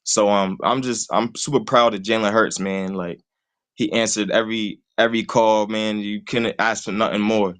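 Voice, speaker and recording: A man's voice: lowish voice, steady tone, moderate pace, fairly bright tone. A man, talking sports casually and rambling, leaning on "you know what I'm saying" all the time. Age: 20s. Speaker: call-in guest host.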